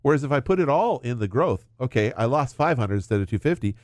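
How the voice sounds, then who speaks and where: A man's voice: medium pitch, lively intonation, quick pace, a little mellow, no echo, clean studio sound. A middle-aged man, a financial advisor, explaining retirement investing in casual chat with hypothetical examples.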